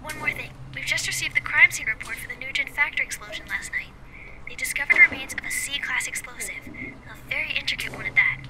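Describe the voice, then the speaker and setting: Actress as female dispatcher, high voice, fast formal delivery, heavy noise on the call, audio drama. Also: close to an everyday voice. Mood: efficient, formal. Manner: formal, businesslike. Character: brisk, efficient female police dispatcher. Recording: loud noise over a call.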